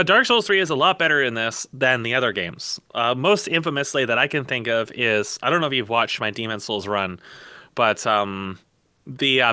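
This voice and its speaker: A man's voice: mid-range voice, sharp tone, smooth, dry recording, studio quality. A man in a casual, opinionated gaming podcast discussion, speaking bluntly and emphatically with plenty of profanity. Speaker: podcast co-host. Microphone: close mic on an online voice call.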